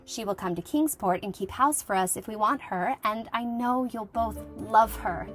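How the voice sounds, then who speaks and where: A woman playing a lively young schoolteacher reading out her letter in a conversational, wryly humorous way, in an acted audiobook. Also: mid-range voice, moderate pace, natural voice. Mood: eager, friendly. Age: young adult. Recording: music playing behind the voice.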